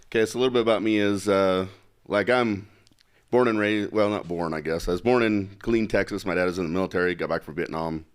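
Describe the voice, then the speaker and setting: A man from Utah with a very low, deep voice speaks plainly and bluntly. He is middle-aged and loosens his points with fillers and restarts, as an interview guest. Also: fast talker, clear, resonant tone. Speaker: congressional candidate. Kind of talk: casual interview talk.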